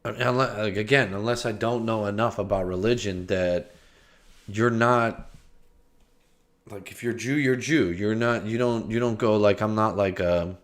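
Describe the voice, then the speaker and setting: A man talks over a stream in a slow, unhurried drawl, pitched on the low side. He jokes, hesitates and restarts his sentences, and sometimes breaks into scatted filler syllables. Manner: joking, hesitant, trails off and restarts, scats nonsense syllables. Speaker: streamer reacting to a video. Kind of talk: rambling casual commentary.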